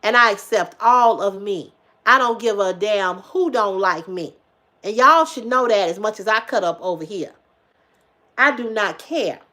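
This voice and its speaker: A woman in her fifties hosting a casual livestream, talking emphatically to her viewers, repeating herself for effect, swearing freely and swinging between loud exclamations and low asides. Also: lively intonation, unhurried. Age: 50s. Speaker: livestream host.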